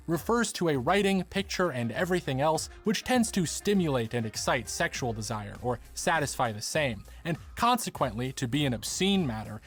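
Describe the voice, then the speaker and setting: A man reading scripted narration in a measured, formal way, like a documentary essay. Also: a little high. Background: background music.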